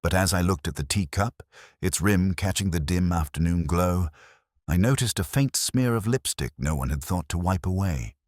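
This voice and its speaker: A man narrating a literary detective drama in the first person, measured, brooding and reflective, as a detective recounting a case. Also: low-pitched, expressive, dry recording, clean studio sound.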